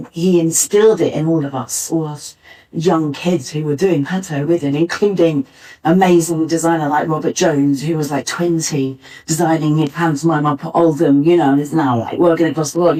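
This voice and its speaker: Older female panelist, low register, halting reminiscent delivery, miked from afar at a live talk. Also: warm tone. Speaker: pantomime writer, director and actor, panelist. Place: arts centre stage, live panel. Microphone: distant backup recorder. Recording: distant mic, uneven backup recording.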